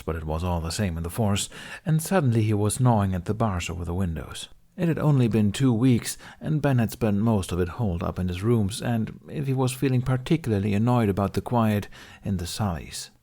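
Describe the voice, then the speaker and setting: Male audiobook-style narrator, lowish pitch, expressive scripted fiction read. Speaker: narrator reading fan fiction.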